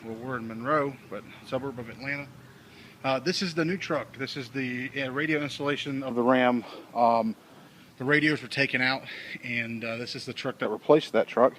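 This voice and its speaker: Clear background noise from a handheld vehicle video surrounds a man with a mid-pitched voice. He talks informally, like a hobbyist showing off his own setup in a casual, hands-on demonstration. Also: normal speed, fairly bright tone. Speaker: amateur radio hobbyist presenting his truck installation. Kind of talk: casual walk-through demonstration. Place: outdoors beside a truck, later inside its cab.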